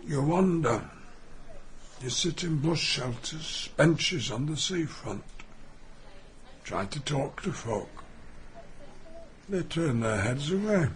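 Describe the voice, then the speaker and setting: Slow-speaking, meek old man. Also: a little high, animated, slight background noise. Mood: bleak, reflective. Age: older. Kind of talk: radio play acting, with reflective monologue.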